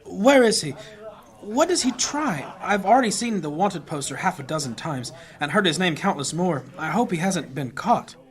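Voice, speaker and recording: An actor playing a pious, anxious priest with a formal, righteous manner in a fantasy audio drama. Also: male voice, sits high, animated, moderate pace. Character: pious, anxious, easily outraged male priest.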